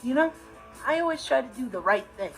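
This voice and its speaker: A man's voice: very high-pitched, animated, fairly quick, vocal fry, soft background music, heavily acted, rough recording. A young man with an American accent playing an earnest, rule-following, easily exasperated teenage brother in a sitcom, speaking emphatically to camera. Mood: preachy. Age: teenage to young adult. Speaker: sitcom lead. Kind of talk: scripted sitcom monologue to camera.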